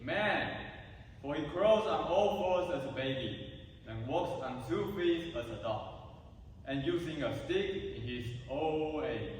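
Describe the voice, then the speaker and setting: A man's voice: fairly high pitch, animated, slow pace, a little hiss or hum. A man playing a proud, hot-tempered, tormented king in solemn, declamatory reader's theatre. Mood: confident. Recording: distant echoey hall, faint music.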